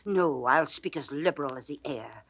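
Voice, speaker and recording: A woman's voice: sits low, expressive, moderate pace, breathy edge, dull audio, full character voice. An actress playing a grief-stricken, fearless and outraged waiting-woman in declamatory 1940s Shakespearean audio drama style. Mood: defiant. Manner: declamatory, theatrical delivery. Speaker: stage actress. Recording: old 1940s studio drama record.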